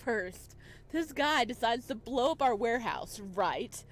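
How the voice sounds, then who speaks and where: A woman's voice: fairly high pitch, animated, unhurried, a little hiss or hum, pitched above the performer's own voice. A woman playing an arrogant, unhinged male crime boss in a visual novel, emphatic and incredulous as they swear. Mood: incredulous anger.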